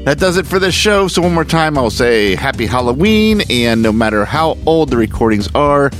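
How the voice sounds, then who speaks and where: A man's voice: mid-range voice, sing-song, moderate pace, faint music behind. A man hosting a podcast, giving a scripted sign-off. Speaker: podcast host.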